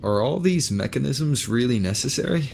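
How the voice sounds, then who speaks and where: A man playing a calm, reserved, inquisitive young wanderer in a video game, speaking in a level, matter-of-fact way. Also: medium pitch, moderate pace, vocal fry, a little hiss or hum. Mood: curious.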